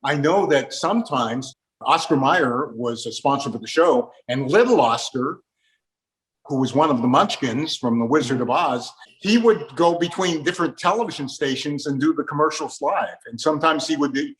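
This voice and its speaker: A man's voice: on the high side, normal speed, a bit bright, low-quality recording. An older man hosting a conversational interview, reminiscing and explaining old broadcasts.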